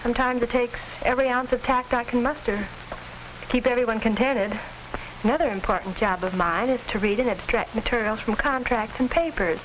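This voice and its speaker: An American actress playing a poised, tactful head secretary in a 1940s instructional film, formal and businesslike. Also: female voice, a little high, close to an everyday voice. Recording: crackly 1940s film soundtrack.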